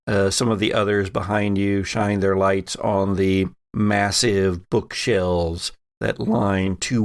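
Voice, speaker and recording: A man narrating a tabletop horror game as its game master, in a measured, dramatic storytelling manner. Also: fairly low pitch, clear, resonant tone, no room sound. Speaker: game master and podcast host.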